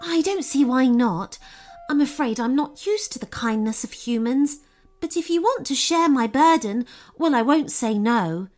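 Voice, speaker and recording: A woman's voice: sits high, expressive, measured pace, darkish tone, pitched above the performer's own voice. A woman voicing a kindly, anxious female goblin with a folksy, polite way of talking, in a storybook tale. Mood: mild surprise.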